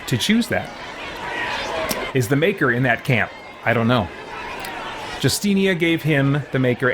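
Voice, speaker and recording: A man giving casual, philosophical commentary as he hosts a video game playthrough, chatty and reflective. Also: medium pitch. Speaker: let's play host. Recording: noisy, with game crowd sounds behind. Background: video game ambience and crowd noise.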